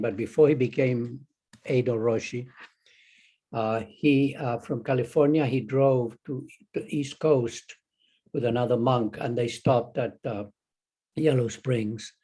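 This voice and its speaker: An older man from Chile with a Chilean Spanish accent, a Zen teacher talking as an interview guest, halting with many restarts and fillers. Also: medium pitch, fairly even intonation, mellow. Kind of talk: casual interview conversation.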